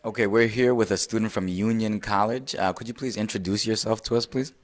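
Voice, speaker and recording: Low-voiced guy asking interview questions, kinda hesitant and polite. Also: steady tone, fairly quick.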